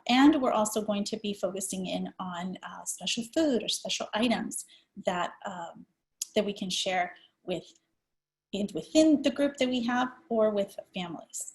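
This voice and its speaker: Unhurried woman presenter from El Salvador. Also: mid-range voice. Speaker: early-education presenter. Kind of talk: training webinar presentation.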